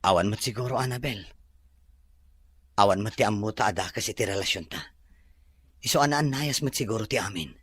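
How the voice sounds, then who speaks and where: A man playing a devoted groom in an audio drama, calm and reassuring. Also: on the low side, a little fast, pauses often, slightly rough, no room sound.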